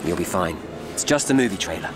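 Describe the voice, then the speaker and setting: An actor playing a dry, loyal, overworked assistant to a film director in a radio drama, matter-of-fact and understated. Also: male voice, low voice, expressive, quick pace, over loud music. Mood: reassuring. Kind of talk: naturalistic radio drama dialogue.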